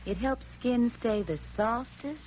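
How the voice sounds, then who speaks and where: A woman playing a dreamy young sweetheart in a 1940s radio commercial, with luxuriant, drawn-out phrasing. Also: a little high, lively intonation, darkish tone. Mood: dreamy, enticing. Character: dreamy young sweetheart extolling beauty soap. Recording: dull, noisy 1940s radio recording.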